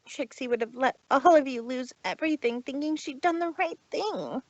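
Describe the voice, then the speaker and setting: A woman voicing a proud, self-important female pony in a fan fiction read-aloud, speaking deliberately and indignantly. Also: high voice, darkish tone, no echo, lo-fi sound, natural voice.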